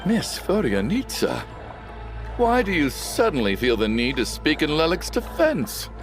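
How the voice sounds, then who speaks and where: A man's voice: fairly high pitch, wildly swooping pitch, measured pace. An actor playing a frantic, accusatory wealthy man in a theatrical video game mystery, melodramatic and indignant. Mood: suspicious, challenging. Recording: loud background music.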